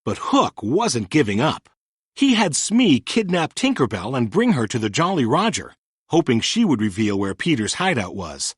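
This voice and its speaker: Animated male storybook narrator with husky voice. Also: mid-range voice, moderate pace, no echo, clean studio sound. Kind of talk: expressive read-aloud children's story narration.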